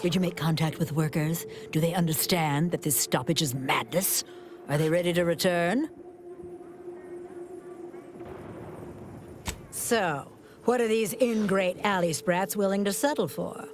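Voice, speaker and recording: A woman's voice: on the low side, moderate pace, background noise, natural voice. A woman voicing a greedy, penny-pinching corporate boss in a video game, pompous, theatrical and articulate. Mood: scornful.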